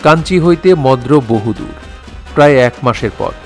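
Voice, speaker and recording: A man's voice: mid-range voice, animated, normal speed, a little mellow, faint music behind. A man narrating an audio drama in a formal, literary storytelling manner.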